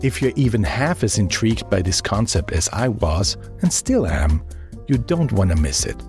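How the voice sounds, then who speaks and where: A man's voice: on the low side, sing-song, normal speed, darkish tone, soft background music. A man with an Austrian accent hosting a podcast interview, upbeat and friendly, with frequent ums. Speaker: podcast host.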